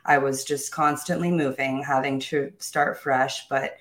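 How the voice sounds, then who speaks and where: A woman's voice: extremely low pitch, steady tone, unhurried. A young woman telling her own life story in an interview, upbeat and self-assured, with small laughs and restarts. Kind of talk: candid personal storytelling.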